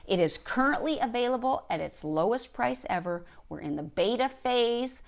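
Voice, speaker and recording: A woman's voice: fairly high pitch, lively intonation, normal speed, creaky. A woman giving an instructional talk as a writing coach, speaking directly and emphatically, repeating key points for stress. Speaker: writing coach and show host.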